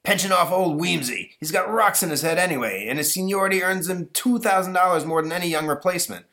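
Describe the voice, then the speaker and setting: Man doing a blustering, raging dad, pitched up. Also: quick pace, bright, forceful tone. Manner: emphatic, indignant. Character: blustering, raging, overprotective father.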